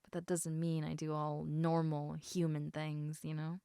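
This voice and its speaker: A woman voicing an affectionate, teasing half-snake lamia in a casual, intimate audio roleplay, chatting informally with halting, trailing thoughts. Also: low-pitched, monotone, gravelly, warm tone, smooth, no room sound, clean studio sound. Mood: mildly exasperated.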